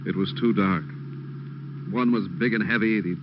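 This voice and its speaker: A man playing a shaken, grieving in a vintage radio drama. His voice is very deep and anxious. The recording is dull, with clear noise behind him. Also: normal speed, natural voice. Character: shaken, bereaved man, engaged, anxious and apologetic. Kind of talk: 1940s-50s radio drama acting.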